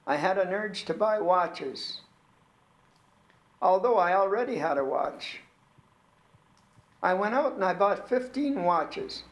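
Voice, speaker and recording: An actor playing a baffled middle-aged man who cannot explain his own compulsive shopping, speaking in a halting, puzzled way. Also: high voice, heavily acted. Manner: halting, puzzled, slow testimony. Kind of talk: mock documentary testimony.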